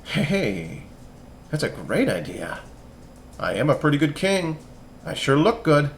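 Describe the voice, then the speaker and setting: A man voicing a vain, fretful king who cries out in high, loud anguish. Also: very animated, takes it slowly, a little hiss or hum, exaggerated voice. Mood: smug.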